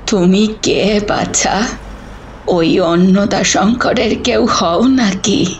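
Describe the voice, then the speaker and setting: A woman's voice: mid-range voice, a little hiss or hum. A woman acting a superstitious, bitter elderly neighbourhood gossip in an audio drama, with a folksy, emphatic manner. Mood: suspicious. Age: older. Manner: folksy, drawn-out, emphatic telling.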